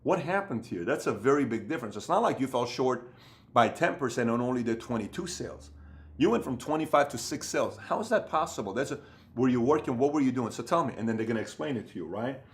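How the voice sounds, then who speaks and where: A man's voice: medium pitch, expressive, fast talker. Thirties man, motivational business coach, emphatic and repetitive, indoor sit-down to camera. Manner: emphatic, repetitive, acts out other people's voices. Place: indoor room with bookshelves.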